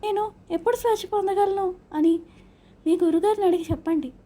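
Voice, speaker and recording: A woman's voice: very high voice, fairly quick, dark tone, voice pushed up. A woman voicing a small, clever caged parrot in a children's story, speaking politely and eagerly. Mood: polite, curious.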